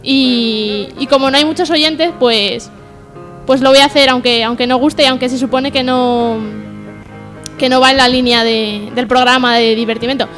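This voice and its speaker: A woman with a high voice presents her radio section informally. She is earnest and hesitant, often putting herself down, with faint music behind her. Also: steady tone, moderate pace, fairly bright tone. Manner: hesitant, self-deprecating, earnest. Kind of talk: informal spoken radio monologue.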